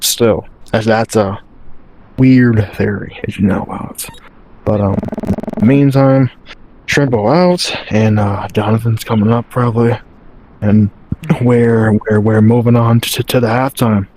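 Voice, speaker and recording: Low-voiced man chatting while gaming. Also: steady tone, unhurried, darkish tone, poor audio. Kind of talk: casual chat while playing a game.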